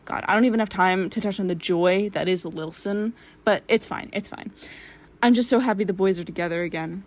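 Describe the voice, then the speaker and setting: A woman giving earnest, expressive fan commentary in a prepared voice message. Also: mid-range voice, a little fast. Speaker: listener sending a voice message.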